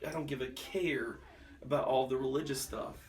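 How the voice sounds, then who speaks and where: A man's voice: mid-range voice, normal speed, far from the mic. A man talking casually and colloquially as he explains his plans on a family vlog. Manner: folksy, informal, colloquial. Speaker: pastor, family vlogger. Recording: noisy handheld vlog recording.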